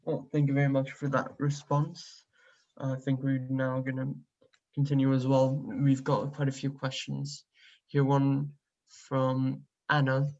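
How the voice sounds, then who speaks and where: A man hosting an online talk, politely reading out audience questions in a steady way. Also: mid-range voice, unhurried, muffled recording.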